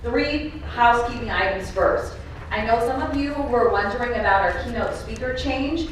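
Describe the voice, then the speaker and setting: A woman's voice: fairly high pitch, moderate pace, a little hiss or hum. A woman acting as emcee at a conference, speaking upbeat and direct to the room and thanking people. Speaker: event emcee. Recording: echoey hall, voice heard from far off.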